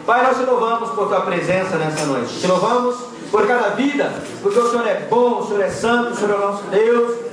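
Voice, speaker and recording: Man, Brazilian accent, high voice, fervent prayer, echoey church from far off, congregation around him. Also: fairly quick, a crowd in the background. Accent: Brazilian Portuguese. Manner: fervent, repetitive praise. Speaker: church leader leading prayer. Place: church hall.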